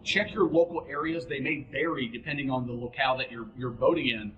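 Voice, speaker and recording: Male instructor, roomy echoing sound, faint background noise, mid-pitched voice, plain-spoken, practical, informal explaining. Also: brisk, narrow, tinny audio. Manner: plain-spoken, practical, addresses listener directly. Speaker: boating instructor and host.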